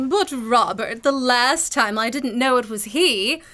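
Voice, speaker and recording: A woman's voice: a little high, lively intonation, slow pace, bright, forceful tone, full character voice. A woman playing an overwrought, murderous wife in a spoof of 1930s radio melodrama. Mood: defiant, theatrical.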